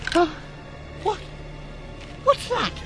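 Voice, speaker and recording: An actor in a radio play voicing a crotchety, stubborn old miser who splutters and stammers with fright. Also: male voice, very high-pitched, wildly swooping pitch, extremely slow, over music, heavily acted. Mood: scoffing. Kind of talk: radio theatre acting.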